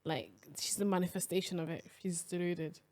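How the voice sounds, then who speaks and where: A woman's voice: fairly low pitch, fairly even intonation, fairly quick, darkish tone. A young woman co-hosting a casual podcast chat, teasing her friend and laughing often. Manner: laughs a lot, playful teasing. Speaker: podcast co-host.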